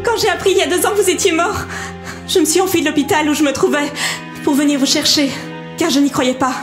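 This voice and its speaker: Woman acting a high-voiced emotional young survivor. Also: fairly even intonation, fast, natural voice. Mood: tearful. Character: emotional young female survivor, fragile, earnest. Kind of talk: French audio drama acting. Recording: roomy sound with music behind.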